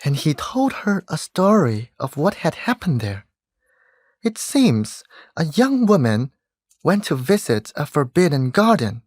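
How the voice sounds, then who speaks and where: An actor playing a solemn fairy storyteller in a stage play, measured and dramatic. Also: medium pitch, very animated, a little mellow, no room sound, clean studio sound. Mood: solemn, enchanted.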